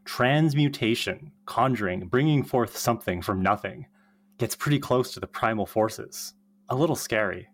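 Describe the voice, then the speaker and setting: A performer voices an earnest young college witch in a table read. She is chatty and eager, with a medium pitch that swings widely from word to word. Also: expressive, moderate pace, close to an everyday voice. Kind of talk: podcast table read of TV script.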